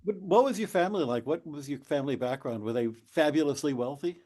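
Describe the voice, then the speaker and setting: A man's voice: a little high, quick pace, darkish tone. A man hosting a video-call interview, asking questions in a playful, teasing way. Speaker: host.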